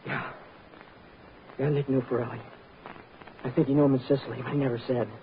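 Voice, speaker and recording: An actor playing a frightened, exhausted young fugitive in old-time radio drama, speaking in a halting, pleading way. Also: male voice, medium pitch, little pitch movement, lightly acted. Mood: weary, defensive. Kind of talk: 1940s-50s radio drama acting. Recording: noisy, tinny old-time radio recording.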